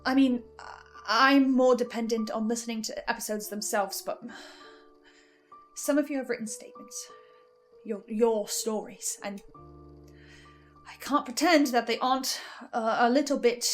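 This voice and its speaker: A woman acts an anguished, self-doubting female broadcaster in a dramatic monologue. The voice sits fairly high and moves haltingly, with intense self-corrections. Music plays underneath. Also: lightly acted. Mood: uneasy, searching. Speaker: broadcaster reacting to a fiction podcast.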